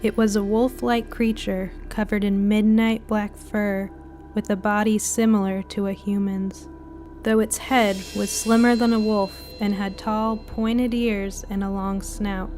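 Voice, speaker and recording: A woman reading a scripted spooky short story as narrator, in a dramatic, deliberate way. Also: medium pitch, little pitch movement, a little mellow. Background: music bed.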